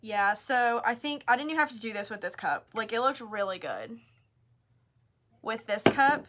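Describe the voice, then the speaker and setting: Chatty higher-pitched woman giving craft demo. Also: animated, moderate pace, a bit bright. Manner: chatty, informal, explains step by step. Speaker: craft tutorial host. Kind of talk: casual live craft demonstration. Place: craft store.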